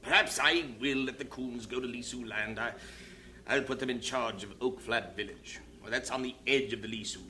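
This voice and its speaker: A man's voice: medium pitch, normal speed, bright, forceful tone, a little hiss or hum. A man acting a fretful, doubting missionary superintendent in an audio drama, blunt, fussy and formal. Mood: reluctant.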